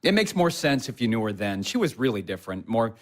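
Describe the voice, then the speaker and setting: A man's voice: medium pitch, sing-song, fairly quick, natural voice. An actor playing an earnest, bookish young husband in an audio play, hesitant and apologetic as he tries to comfort. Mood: wistful, confiding. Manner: hesitant, self-correcting, apologetic. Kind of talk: naturalistic audio play dialogue.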